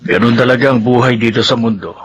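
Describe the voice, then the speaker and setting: Husky, concerned Filipino father figure, played by an actor. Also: mid-range voice, quick pace, close to an everyday voice. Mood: resigned. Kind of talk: Filipino radio drama acting.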